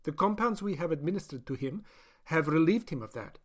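A coolly courteous woman scientist who talks of sacrifice with chilling calm, put on by a male narrator. Her speech is prim and clipped, pitched well above the reader's natural voice. It belongs to an audiobook thriller. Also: brisk. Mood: cool polite. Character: polite ruthless female doctor experimenting on captives. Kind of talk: audiobook character voice.

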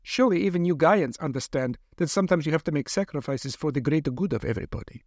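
A man voicing a brisk, coolly polite female doctor who experiments on captives, in a storybook reading. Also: on the high side, expressive, darkish tone, no room sound, clean studio sound. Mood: coaxing. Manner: polite, clipped, coolly insistent.

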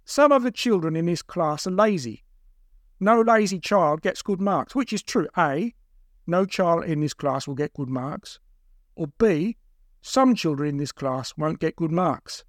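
A man's voice: on the high side, animated, unhurried, no room sound, clean studio sound, pitched above the performer's own voice. A man voicing a big, jeering captor who fires off questions like a mocking quizmaster in an audiobook. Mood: taunting. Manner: taunting, mocking quizmaster delivery. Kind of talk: audiobook character voice.

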